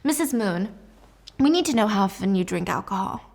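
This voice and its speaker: A woman's voice: medium pitch, expressive, fairly quick, vocal fry, a little mellow. A woman voicing a businesslike psychiatric doctor in a story, asking probing questions in a clinical manner.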